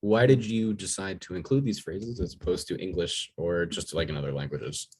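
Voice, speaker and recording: A man's voice: sits low, moderate pace, a little mellow, slight background noise. A man hosting a casual podcast interview, teasing his guest and laughing often. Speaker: podcast host.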